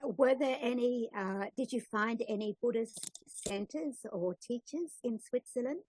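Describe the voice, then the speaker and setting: A woman hosting an interview, introducing her guest from a script and then asking questions in a warm, welcoming manner. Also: fairly high pitch, measured pace, a little hiss or hum, rough recording. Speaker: interviewer, host.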